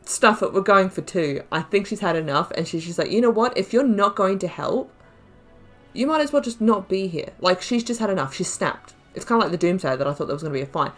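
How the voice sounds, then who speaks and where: A woman hosting a game playthrough, thinking aloud in casual, expressive commentary with emphatic repetition. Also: medium pitch, a little fast, faint music behind. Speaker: gaming video host.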